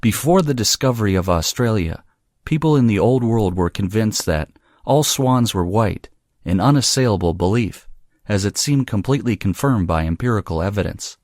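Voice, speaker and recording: Low-voiced man reading deliberately. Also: animated, a little mellow, dry recording, clean studio sound. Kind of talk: scripted read-aloud of academic practice texts.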